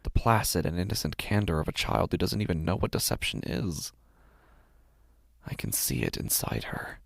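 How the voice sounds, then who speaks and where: A man acts out a devoted father whose worry has turned obsessive, narrating a horror audio drama. His voice is low and full of creak, recorded very dry. He speaks haltingly and intensely, as if trying to justify himself. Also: very animated, no room sound, full character voice. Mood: troubled, strained. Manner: hesitant, halting, intense, sighing. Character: devoted, anxious, obsessive father of a young daughter.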